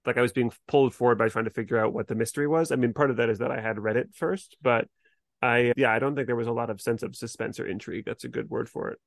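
A man's voice: mid-range voice, fairly even intonation, quick pace, vocal fry, no echo. Male co-host, mid-range voice, dry recording, conversational reviewing style. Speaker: podcast co-host. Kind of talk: casual review discussion.

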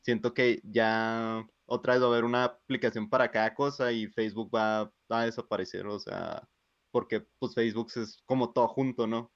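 Young mexican guy in his 20s, smooth voice, dry lo-fi sound, lots of "este". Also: mid-range voice, fairly even intonation, no echo. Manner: hesitant, fills pauses with "este". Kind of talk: casual chat.

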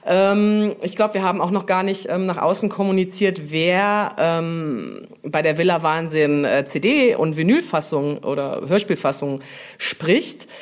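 A woman's voice: on the low side, measured pace. A woman, chatting informally as an interviewee and explaining her work, often checking for agreement with little tag questions. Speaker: brand manager being interviewed on a podcast. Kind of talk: casual interview talk, explanatory.

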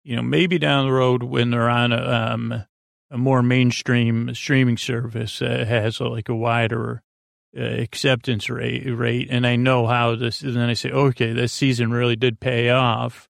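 A man with a creaky voice hosts a bedtime podcast, meandering haltingly in a lulling, self-deprecating monologue. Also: mid-range voice, little pitch movement, no echo, clean studio sound. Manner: lulling, soothing. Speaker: podcast host.

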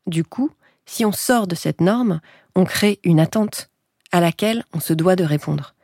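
A woman hosting a podcast, reading a scripted narration in a calm, measured way. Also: fairly low pitch, breathy edge, dry recording, studio quality.

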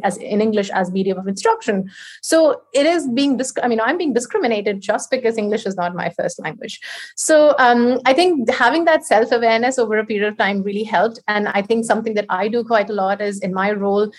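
A woman from India, speaking as a panel guest and often restarting her sentences as she talks. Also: mid-range voice. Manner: hesitant, many restarts and fillers. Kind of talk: panel discussion.

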